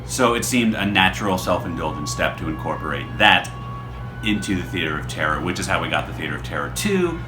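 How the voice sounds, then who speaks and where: A man's voice: sits low, big pitch swings, a little fast, over music. A man hosting a podcast, explaining his show in a casual, rambling, self-deprecating way. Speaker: podcast creator and host.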